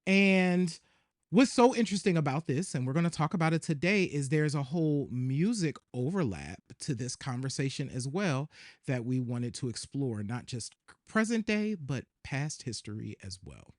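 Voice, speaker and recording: Higher-pitched man co-hosting a podcast. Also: lively intonation, moderate pace, no room sound, clean studio sound. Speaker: podcast co-host. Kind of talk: casual podcast talk.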